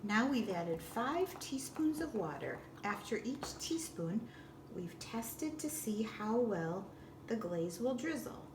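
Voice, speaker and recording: A woman giving step-by-step instructional narration in a deliberate way, with simple explanatory phrasing. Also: fairly high pitch, big pitch swings. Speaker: librarian giving a baking demonstration. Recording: distant roomy echo with background noise.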